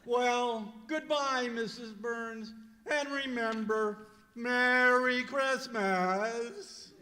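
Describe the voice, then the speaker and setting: An actor playing a doleful, henpecked middle-aged postman with drawn-out, plaintive delivery in an old-time radio comedy. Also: very high voice, fairly even intonation, pushed and bright, full character voice. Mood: doleful, polite. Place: live performance in a museum hall. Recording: echoey hall, live stage recording.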